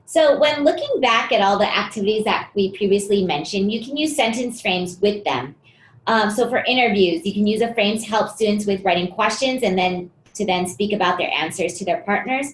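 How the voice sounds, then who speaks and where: Woman giving a teacher training webinar, explains things calmly, um and uh a lot, roomy sound. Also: medium pitch, normal speed, slight background noise. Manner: explanatory, steady, with frequent fillers. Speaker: webinar presenter. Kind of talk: educational webinar presentation.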